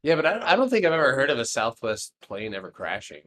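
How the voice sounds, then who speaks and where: A man's voice: medium pitch, wildly swooping pitch, fast, fairly bright tone. A man in his late twenties hosting a live stock trading stream, chatting casually and rambling with jokes that trail off into mumbles. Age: 20s.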